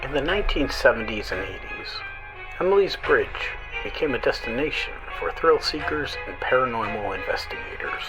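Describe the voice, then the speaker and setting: A man hosting a horror radio show, reading creepy stories in a slow, eerie, dramatic way, with music playing under his voice. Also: mid-range voice, lively intonation, rough recording. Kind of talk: scripted horror narration.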